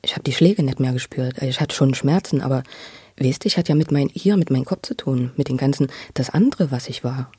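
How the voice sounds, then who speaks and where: A man giving halting personal testimony in conversation, repeating words as he searches for how to say things. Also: medium pitch, dark tone. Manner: stammering word repetitions, searching for words. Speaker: interviewee recounting his own experience.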